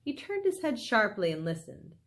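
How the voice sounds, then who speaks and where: A woman reading a children's story aloud in a dramatic, expressive way. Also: fairly high pitch, normal speed. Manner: animated, dramatic, with exclaimed asides to listeners. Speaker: storybook reader.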